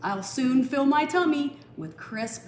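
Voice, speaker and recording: A woman's voice: high voice, lively intonation, takes it slowly, slight background noise, voice pushed up. Woman reading as determined storybook gardener man, echoey room. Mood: eager. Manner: emphatic, declarative. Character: determined, grumbling male gardener who swears "by golly". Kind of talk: picture-book read-aloud character voice.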